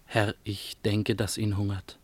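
A male actor playing a gentle, deferential attendant to an emperor in a radio play, speaking in a subdued manner. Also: lowish voice, flat delivery, unhurried, no echo. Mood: humble, careful. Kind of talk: radio play verse acting.

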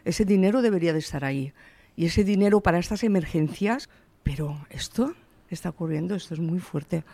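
A woman's voice: on the low side, a little fast, dark tone, no room sound. A woman in her sixties from the Valencia region, a shop owner answering interview questions in an earnest, emphatic way. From Valencia region, Spain. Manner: earnest, emphatic, quotes her own words.

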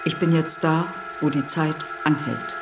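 An actress playing an imperious, composed woman in an audio drama, speaking in a deliberate, terse way. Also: low-pitched, fairly even intonation, over loud music. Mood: tense, inward. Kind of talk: scripted audio drama acting.

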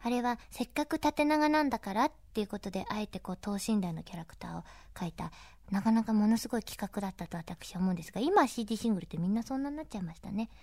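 Female interviewer and DJ. Quick, animated, courteous chat, dry studio-like sound. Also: medium pitch, no echo. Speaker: interviewer and DJ host. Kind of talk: chatty, polite radio-style interview hosting.

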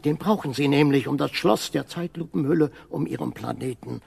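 Radio sci-fi: solemn old alien prophet of doom, raspy and creaky, urgent delivery. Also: male voice, fairly high pitch, darkish tone, exaggerated voice. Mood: urgent, worried. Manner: slow, portentous, urgent explanations. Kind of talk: science-fiction radio drama acting.

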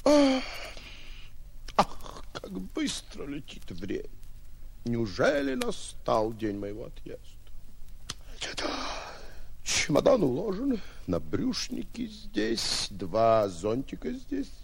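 An actor playing a pompous, excitable braggart hero in a theatrical, declamatory 1940s radio style, full of exclamations and sighs. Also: male voice, a little high, slow pace, vocal fry, pauses often, slightly rough, full character voice. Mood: fretful, wistful. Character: pompous, excitable male would-be hero, comically torn braggart. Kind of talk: 1940s radio play acting. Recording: noisy 1940s radio recording.

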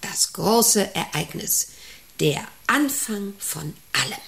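An actress in a radio play as a domineering, eccentric factory director who rambles, commands and asks intrusive questions. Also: female voice, medium pitch, lively intonation, slow, vocal fry, a bit bright, heavily acted. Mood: brooding, rapt. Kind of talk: German radio play acting.

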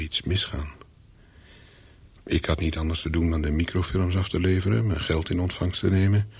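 An actor playing a wary, hunted courier, telling his story in a radio thriller in short, clipped, deliberate sentences. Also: low-pitched, a little mellow, slightly rough, heavily acted. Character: hunted male courier of smuggled microfilms, wary, coolly calculating. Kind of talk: first-person thriller narration in a radio play.

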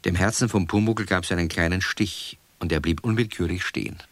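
A man's voice: low voice, a little fast. A man narrating a scripted story for children.

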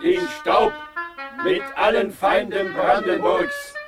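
Distant, high-voiced, fervent soldier. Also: male voice, sing-song, takes it slowly, creaky, exaggerated voice. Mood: triumphant. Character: fervent soldier hailing a victor. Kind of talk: classical radio play declamation. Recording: distant from mic, under loud music.

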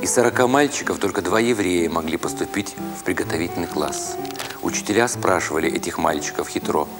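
A man with a fairly low voice narrates a literary story in the first person. His phrasing is expressive and deliberate, in a dramatic storytelling style. Music plays clearly behind him.

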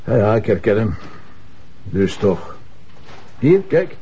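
A man's voice: lowish voice, very animated, slow, dark tone, lo-fi sound, a little hiss or hum. A man playing a laid-back police commissioner in a crime drama, with a Flemish accent and a dry, conversational manner. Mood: matter-of-fact. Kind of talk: naturalistic TV crime drama acting.

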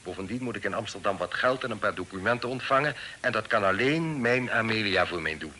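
An actor playing a proud, quick-tempered middle-aged fugitive father in a period radio play, speaking formally and insistently. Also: on the low side, animated, bright, forceful tone. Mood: grave, persuasive. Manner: formal, insistent, eloquent old-fashioned speech. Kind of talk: period radio drama acting. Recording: hissy old radio play recording.

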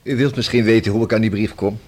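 An actor playing a persistent, ironic police detective in a radio play, speaking formally and unflappably. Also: male voice, lowish voice, fairly even intonation, fast, darkish tone, close to an everyday voice. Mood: pressing.

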